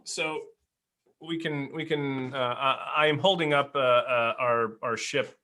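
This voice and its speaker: Male co-host for a casual group podcast; bright, forceful tone at average pitch, joking and ribbing. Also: measured pace. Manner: jokey, teasing.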